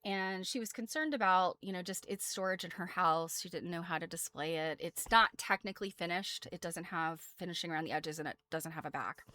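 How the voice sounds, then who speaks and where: A woman's voice: on the low side, brisk, few pauses, pushed and bright. An American woman presenting quilt history casually as a host, chatty and self-deprecating, wandering off into asides.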